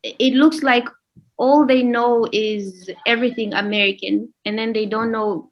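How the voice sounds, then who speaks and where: A young adult woman from Namibia, a guest in a casual interview who explains things with pauses. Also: on the high side, muffled recording. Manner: relaxed, explains with pauses and laughs.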